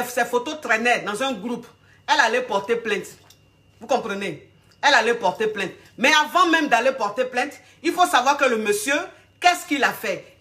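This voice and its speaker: A woman's voice: on the high side, sharp tone. A woman with a cold telling a story to her viewers in casual, repetitive style, often asking if they follow. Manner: repetitive, emphatic, addresses viewers directly. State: has a cold. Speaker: video host telling a story.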